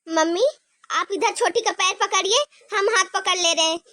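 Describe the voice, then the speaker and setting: A woman's voice: very high voice, moderate pace, sharp tone, dry recording, studio quality. A woman playing a sly two-faced daughter-in-law. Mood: fake soothing. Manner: fake sympathy, sly whispered asides to viewers. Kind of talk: homemade family drama skit.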